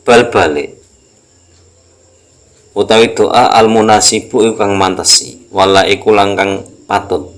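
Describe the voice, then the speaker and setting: A man with a Javanese accent teaching an Islamic text, reading it out and explaining it in a halting, repetitive way. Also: on the low side, fairly even intonation, fairly bright tone. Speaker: religious teacher.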